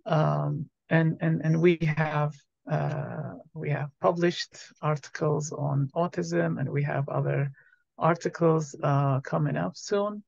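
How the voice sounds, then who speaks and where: A man from Iraq, a doctoral student, answering interview questions politely and steadily with soft fillers. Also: a little high, steady tone, takes it slowly, creaky, no room sound. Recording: dry, muffled lo-fi mic.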